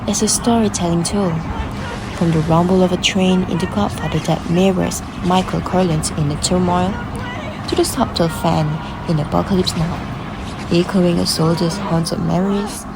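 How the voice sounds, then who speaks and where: A woman's voice: on the low side, fairly even intonation, normal speed, creaky, noisy background. A woman presenting a scripted explainer narration in the manner of a video essay.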